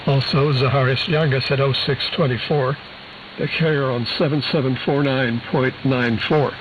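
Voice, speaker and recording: A man's voice: medium pitch, steady tone, normal speed. A man presenting a scripted shortwave news bulletin, precisely reading out figures and spelled letters. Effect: shortwave radio transmission. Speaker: radio program presenter. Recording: muffled, hissy shortwave radio broadcast.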